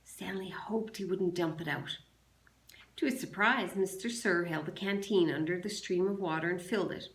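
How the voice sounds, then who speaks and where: A woman teacher reading a children's novel aloud to a class in a measured, deliberate way. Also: on the low side. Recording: roomy, echoing sound.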